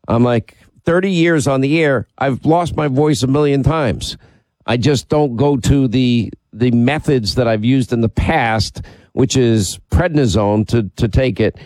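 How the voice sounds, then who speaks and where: A man's voice: medium pitch, a little mellow. A middle-aged man with a head cold hosts a talk radio show, his voice stuffy and nasal. He rambles in a self-deprecating way, and the dry, close sound has no room echo. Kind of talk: casual on-air banter and commentary.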